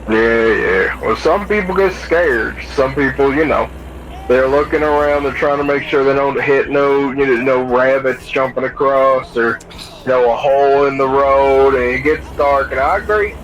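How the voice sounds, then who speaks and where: A man's voice: medium pitch, normal speed, dull audio, natural voice. A man playing an easygoing, plain-spoken trucker in improvised CB roleplay. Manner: folksy, plain-spoken, agreeable. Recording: faint radio and vehicle noise.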